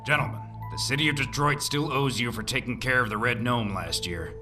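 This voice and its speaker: A man's voice: medium pitch, fairly quick, over music, natural voice. Man as formal, worried mayor, slightly distant. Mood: concerned, official. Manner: formal, speechifying. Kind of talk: full-cast audio drama acting.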